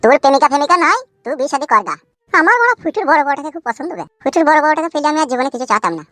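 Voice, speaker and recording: A man's voice: extremely high pitch, lively intonation, rapid-fire, bright, forceful tone, no echo, clean studio sound, exaggerated voice. A man voicing a sly, lecherous village braggart in a comic cartoon, using crude slang. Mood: sly, boastful. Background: music.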